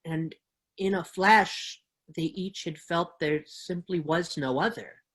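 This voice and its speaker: A woman reads children's books aloud in a fairly low voice. Her storytelling is expressive and measured, in the manner of a dramatic reading. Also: husky.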